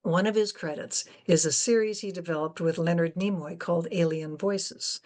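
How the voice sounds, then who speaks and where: Low-voiced older woman moderating an interview. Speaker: guest moderator. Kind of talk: introducing and interviewing a guest.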